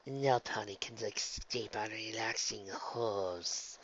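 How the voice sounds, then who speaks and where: Guy doing a soft polite spa pony lady, soothing but kinda sinister, storybook character. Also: mid-range voice, normal speed, husky, dull audio. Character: soothing, slyly menacing female spa attendant pony.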